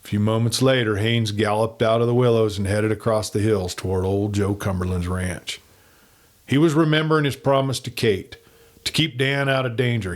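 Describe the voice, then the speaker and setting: A man reading a western novel aloud as an audiobook narrator, in an expressive, dramatic storyteller's manner. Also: fairly low pitch.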